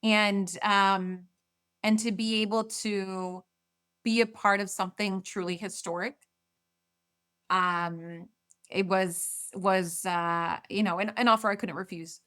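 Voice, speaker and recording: Argentine-born woman, halting and earnest. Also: medium pitch, fairly even intonation, fairly bright tone, clear, resonant tone. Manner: hesitant, many restarts and fillers. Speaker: guest, marketing executive. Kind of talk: casual interview.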